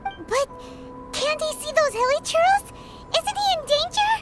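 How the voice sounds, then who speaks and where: Chirpy childlike anime game sidekick, extremely high, lively pitch, exclamatory delivery. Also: female voice, unhurried. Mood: worried. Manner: refers to herself in third person, exclamatory. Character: small childlike floating pixie girl companion, chirpy and inquisitive. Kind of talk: anime-style video game voice acting. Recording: game music playing behind the voice.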